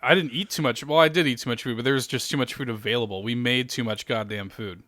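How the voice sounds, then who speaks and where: A man's voice: medium pitch, quick pace, pushed and bright, no room sound, clean studio sound. A young man hosting a podcast, upbeat and jokey, laughing often while he chats. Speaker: podcast host. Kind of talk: casual chat.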